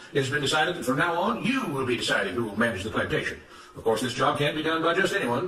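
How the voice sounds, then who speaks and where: A self-righteous plantation overseer from a storybook, acted out by a male narrator, declaims emphatic speeches. The voice is raised high and bright, with an orator's force. It sounds slightly distant in a roomy space. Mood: announcing.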